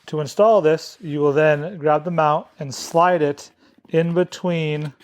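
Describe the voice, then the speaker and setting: Man giving step-by-step instructions, slightly higher voice. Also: steady tone, slow pace. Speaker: product demonstrator.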